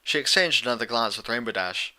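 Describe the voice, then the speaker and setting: A man's voice: medium pitch, lively intonation, a little fast, sharp tone. A man reading scripted story narration as an audiobook narrator of fan fiction.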